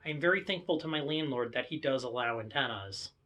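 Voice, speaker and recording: A man's voice: medium pitch, expressive, quick pace, sharp tone. A man with an American accent casually presenting a hobby vlog, walking viewers through equipment. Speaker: amateur radio hobbyist presenter.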